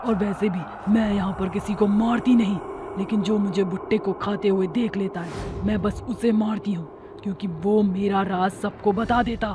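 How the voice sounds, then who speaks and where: A woman voicing a vengeful ghost witch in a horror cartoon, threatening and aggrieved, with a slow delivery. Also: mid-range voice, steady tone, noisy background, close to an everyday voice. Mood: stern. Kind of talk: Hindi horror cartoon dialogue.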